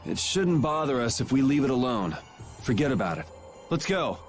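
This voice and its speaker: A man's voice: mid-range voice, sing-song, normal speed, faint music behind, close to an everyday voice. A man voicing a cool, sardonic young swordsman in an anime video game dub. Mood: dry, casual.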